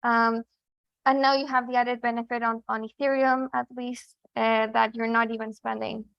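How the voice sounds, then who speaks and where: A woman's voice: a little high, little pitch movement, normal speed, vocal fry, no echo, highs cut off. A young woman from Chile, co-hosting a podcast in casual conversation, thoughtful, with many fillers and restarts. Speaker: podcast co-host.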